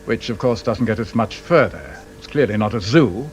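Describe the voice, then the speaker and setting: A man's voice: lowish voice, expressive, normal speed, soft background music, close to an everyday voice. An actor playing a wry, sarcastic male investigator with a pedantic, teasing manner and a British accent in a radio drama. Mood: wry, instructive.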